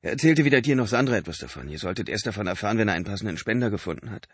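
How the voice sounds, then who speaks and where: A man's voice: fairly low pitch, animated, normal speed, breathy edge, no echo, voice pushed up. A man voicing an exhausted adult man who pleads urgently and breathlessly with his brother. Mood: urgent pleading.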